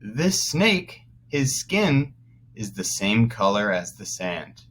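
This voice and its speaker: A man teaching young children through a read-aloud, pausing to explain words as he goes. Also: lowish voice, very animated, fairly bright tone, breathy edge. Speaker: first-grade teacher.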